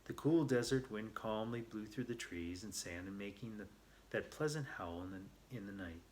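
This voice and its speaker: Low-voiced man reading a story aloud. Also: moderate pace, darkish tone, a little hiss or hum. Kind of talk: read-aloud narration.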